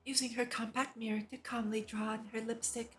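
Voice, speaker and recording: A woman's voice: a little high, little pitch movement, brisk, soft background music. A woman streamer reading a visual novel aloud and commenting casually as an enthusiastic fan. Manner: enthusiastic, giggly fan reactions between read passages. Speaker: VTuber streamer.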